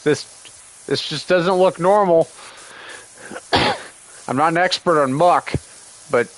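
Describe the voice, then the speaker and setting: A man's voice: fairly high pitch, fairly bright tone, rough recording. Sarcastic man grumbling over a game. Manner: sarcastic, grumbling, talks back to the game's characters. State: getting over a cold, with a lingering cough. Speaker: gaming streamer. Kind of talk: casual livestream game commentary.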